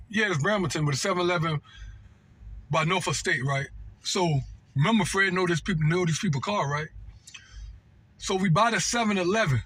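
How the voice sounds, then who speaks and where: A man's voice: high-pitched, sharp tone, slight background noise. An American man telling a personal story casually off the cuff, slangy and repetitive, often checking that the listener follows. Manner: slangy and repetitive, seeking agreement with "right?" and "know what I mean". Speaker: storytelling vlogger.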